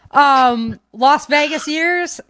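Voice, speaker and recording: A woman's voice: high-pitched, fairly bright tone, dry recording. A woman chatting casually and informally as a live podcast host, with breezy, slangy talk. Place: home office.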